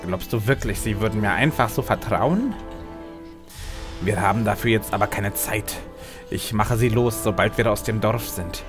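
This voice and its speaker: Man as ruthless male alchemist, fairly low pitch, urgent, curt, audio drama, music underneath. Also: moderate pace, close to an everyday voice. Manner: urgent, brusque. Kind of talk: fan audio drama acting.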